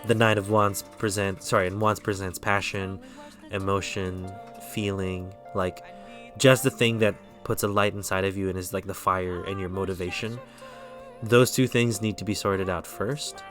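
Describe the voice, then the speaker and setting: A man with an American accent giving a relaxed, rambling tarot reading on a live stream, often stopping to correct himself. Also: low-pitched. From Philippines.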